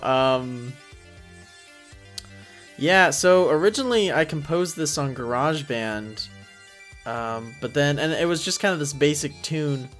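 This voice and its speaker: A man giving informal, hesitant commentary as the creator of an animated series, rambling and thinking aloud. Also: on the high side. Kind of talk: informal behind-the-scenes commentary. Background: music and cartoon dialogue from the episode.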